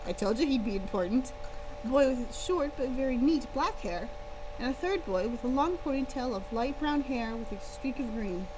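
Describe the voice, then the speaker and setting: A woman reading a first-person story aloud on a live stream, expressive and playful, with casual asides. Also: fairly high pitch. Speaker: author-style reader and streamer. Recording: clear background hum and noise.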